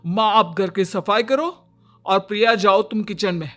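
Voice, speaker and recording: Woman voicing a weary, put-upon young husband, slow delivery. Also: mid-range voice, faint music behind, close to an everyday voice. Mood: exasperated. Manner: pleading, lamenting. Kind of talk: moral cartoon story dialogue.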